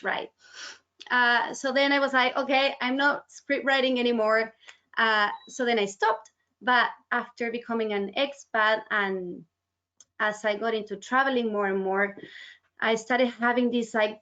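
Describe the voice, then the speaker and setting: A woman's voice: a little high, a bit bright. A woman from Argentina, a writer guest, chatting in a webinar interview with plenty of "like" and "um". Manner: chatty, uses "like" and "um" often. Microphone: video call. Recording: dull lo-fi video call audio.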